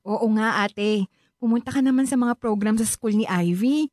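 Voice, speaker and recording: A supportive Filipino aunt in a radio drama, acted by a woman. She has a somewhat high, expressive voice that coaxes and defends, heard dry and without room sound. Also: normal speed, no room sound, lightly acted. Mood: cheerful, proud. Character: supportive, protective aunt, quick to defend her niece.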